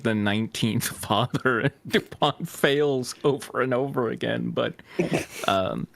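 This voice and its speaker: A man's voice: medium pitch, creaky. A man reading fanfiction aloud as a host, breaking off for joking banter.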